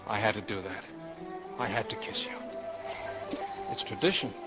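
A man's voice: fairly low pitch, huge pitch swings, measured pace. A man in a 1940s radio drama playing a playful college professor in love, teasing and tender. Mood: playful, courting. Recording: dull vintage radio recording, faint music.

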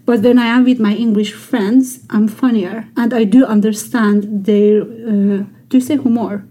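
A woman chatting casually and thoughtfully, pausing to search for words. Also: a little high, steady tone, dark tone, slightly rough. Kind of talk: casual chat.

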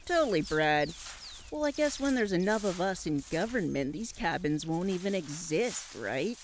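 A woman's voice: on the low side, lively intonation, normal speed, slight background noise, close to an everyday voice. A woman playing a young, agreeable, slightly dim henchman in a comic audio drama, slangy and hesitant. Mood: eager agreeing. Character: young, dim, agreeable male sidekick henchman, timidly questioning.

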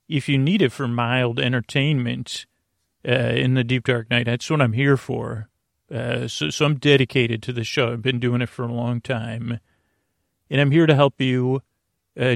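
Male sleep podcast host, mid-pitched with vocal fry, halting and meandering bedtime monologue. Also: no room sound, clean studio sound. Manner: meandering, halting, repetitive, trailing off.

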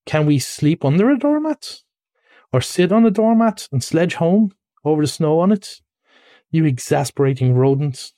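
A man's voice: a little high, animated, normal speed, dark tone, dry recording, studio quality, voice pushed up, lightly acted. A man voicing a self-pitying, literal-minded mole in a story, with a plaintive, huffy way of complaining. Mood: huffy, sarcastic.

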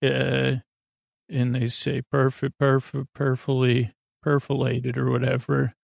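A man hosting a sleep podcast in a meandering, halting monologue that keeps drifting off topic, with a gravelly, creaky voice. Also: mid-range voice, completely flat delivery, no echo, clean studio sound. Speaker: podcast host.

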